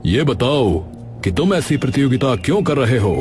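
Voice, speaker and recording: A man's voice: mid-range voice, very animated, moderate pace, over music, close to an everyday voice. A man playing an arrogant, scheming royal minister with a haughty, demanding manner in a cartoon story. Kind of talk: Hindi cartoon dubbing.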